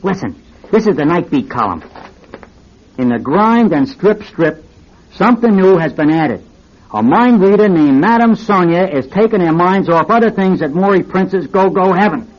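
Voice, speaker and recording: A man's voice: high-pitched, expressive, normal speed. An actor playing a brash, pushy go-go club owner with slangy showman talk in an old radio drama. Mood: delighted. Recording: muffled, noisy old radio recording.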